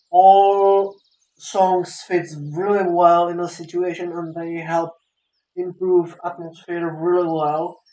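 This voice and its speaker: Slow, high-voiced man, reviewer. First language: a language other than English. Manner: halting and repetitive, searching for words. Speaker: video game reviewer. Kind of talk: casual unscripted review commentary. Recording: roomy echo, music playing behind. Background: soft guitar game music.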